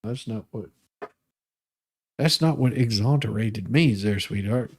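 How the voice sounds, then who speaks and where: A man's voice: lowish voice, unhurried. A man hosting a live stream, reading documents aloud and adding off-the-cuff, sardonic and mocking commentary.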